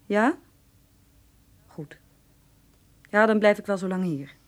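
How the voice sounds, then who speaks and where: A woman's voice: medium pitch, slow, lightly acted. A woman playing a polite, worried female caregiver in a radio drama.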